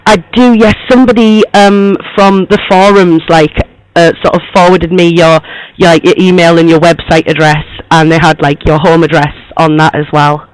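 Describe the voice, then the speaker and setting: A man putting on the voice of a young woman magazine journalist, hoarse, rambling with lots of fillers on a prank call. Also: fairly high pitch, flat delivery, normal speed, lightly acted, rough recording. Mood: friendly, chatty. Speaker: prank caller posing as interviewer.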